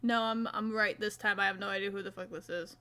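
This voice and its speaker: A woman's voice: mid-range voice, steady tone, fast, pushed and bright. A young woman streaming live, chatting casually and self-mockingly while she crochets, swearing now and then.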